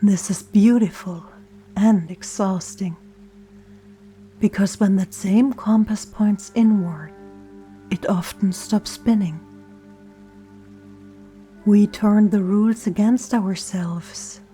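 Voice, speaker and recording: A woman leading a gentle scripted guided meditation in a soft, soothing, often breathy near-whisper, with deliberate pauses. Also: mid-range voice, steady tone. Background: soft meditation music and singing bowl tones.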